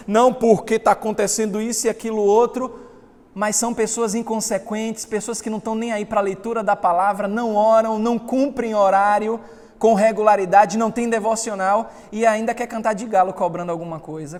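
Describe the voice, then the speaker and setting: A man's voice: sits high, steady tone, a little fast. A man from Salvador, preaching a sermon as pastor, rising emphatically when reading scripture. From Salvador, Bahia, Brazil. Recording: long hall reverb.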